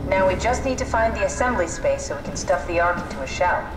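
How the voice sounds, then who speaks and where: An actress playing a brisk, matter-of-fact scientist companion in a sci-fi game, with a businesslike way of talking. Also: female voice, fairly low pitch, animated, music in the background, lightly acted. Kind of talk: video game voice acting. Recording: echoey, noisy machinery ambience.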